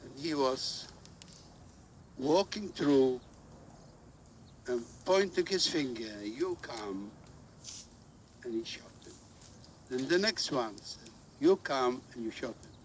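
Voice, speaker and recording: Brief: elderly man, slow halting witness delivery, picked up from a distance with faint noise. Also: medium pitch, expressive. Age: older. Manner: halting, searching for words. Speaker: Holocaust survivor giving testimony. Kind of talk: spoken testimony.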